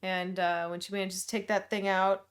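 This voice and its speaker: A woman's voice: mid-range voice, flat delivery, normal speed. Woman co-host adding wry asides. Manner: chimes in with short wry remarks. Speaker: podcast co-host. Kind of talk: casual chat.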